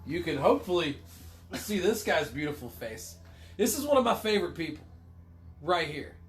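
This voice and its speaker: A man's voice: a little high, very animated, moderate pace, faint music behind. A man with a cold, casually co-hosting a livestream, rambling, swearing and cracking self-deprecating jokes. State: congested with a cold. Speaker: livestream co-host.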